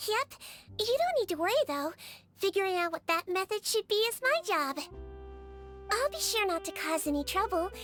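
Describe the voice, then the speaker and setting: A very high, girlish game character voice, playing a small magical nurse creature. She is polite, caring and a little quirky, speaking in a sweet, chirpy bedside manner over background music. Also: very animated, moderate pace, fairly bright tone, full character voice. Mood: chatty, helpful. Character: small cheerful melusine nurse girl, caring and gently quirky. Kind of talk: animated video game character voice acting.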